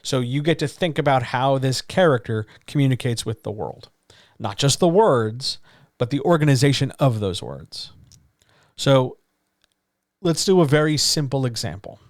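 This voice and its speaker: A man's voice: animated, moderate pace, dry recording. Chatty man coaching writers, dry close sound. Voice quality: deeper voice. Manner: loose, conversational, full of fillers like so, like and um. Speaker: writing coach. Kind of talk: live-streamed Q&A lesson.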